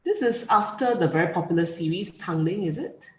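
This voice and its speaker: A woman interviewer with a very low voice asks questions and answers with brief soft affirmations. Her voice sounds roomy and echoey, with a faint hiss underneath. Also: lively intonation, brisk. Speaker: interviewer host. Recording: echoey room with faint noise.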